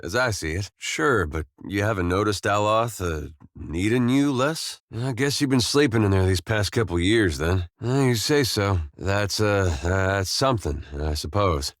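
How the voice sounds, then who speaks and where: An actor playing an easygoing, wry, war-weary farmer turned adventurer in a video game, talking in a folksy, understated way with short, plain phrases. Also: male voice, lowish voice, lively intonation, a little slow, no room sound, studio quality. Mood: casual, probing. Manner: folksy, laid-back, understated, drawling short clipped phrases. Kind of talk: video game companion dialogue.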